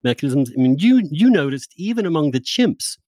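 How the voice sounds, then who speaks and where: A man's voice: fairly high pitch, very animated, a little fast, a little mellow, dry recording. Discursive man hosting a close-miked podcast. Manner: discursive, informal, mildly sweary. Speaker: podcast host. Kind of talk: conversational intellectual interview.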